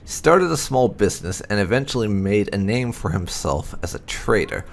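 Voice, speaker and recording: A man narrating fantasy game lore aloud, with deliberate, dramatic emphasis as a video host. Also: fairly low pitch, animated, vocal fry, slight background noise.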